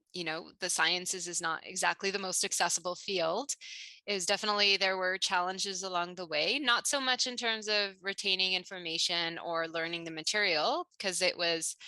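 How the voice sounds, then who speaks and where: A woman in her thirties who grew up in Malaysia talks through interview answers with a very bright, slightly low voice. She pauses and restarts often as she thinks. The recording is close and dry. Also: no echo, low-quality recording. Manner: thoughtful, frequent restarts and fillers. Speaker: scientist.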